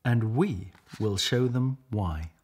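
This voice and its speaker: A man voicing a small, plucky female duck from a storybook, lively and confident. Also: lowish voice, slow, breathy edge, full character voice. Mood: defiant.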